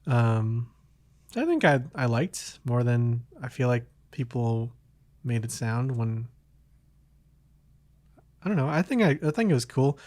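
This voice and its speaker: A man's voice: mid-range voice, expressive, a little slow, pauses often, a little mellow, dry recording. Hesitant man chatting casually, dry sound. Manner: hesitant, rambling, thinking aloud. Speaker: podcast host. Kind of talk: casual chat.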